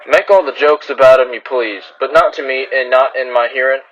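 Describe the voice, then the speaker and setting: A slightly dull radio western recording of a man acting as a town marshal in the Old West. His voice is mid-pitched, weary and stern, and he gives terse orders with firm authority. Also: steady tone, a little fast, a bit bright, natural voice.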